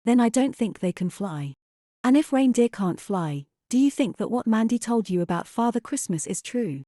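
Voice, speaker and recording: A woman playing a sensible, skeptical young mother in an audio drama, talking in a measured, firm way to a small child. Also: a little high, lively intonation, mellow, no echo, clean studio sound, lightly acted. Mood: leading, reasoning.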